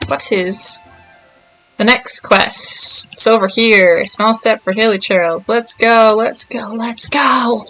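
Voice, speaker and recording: Woman game streamer, slow easygoing pace, bright voice, thinks out loud, music behind her. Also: on the high side, rough recording. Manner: rambling, thinking aloud. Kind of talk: casual livestream chat while playing.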